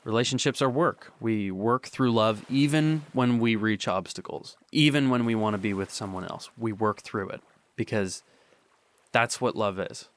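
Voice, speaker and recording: A man's voice: fairly low pitch, moderate pace, close to an everyday voice. A young man playing a guilt-ridden teenage boy confessing and pleading in a radio drama. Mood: earnest. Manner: earnest confession, repeats denials.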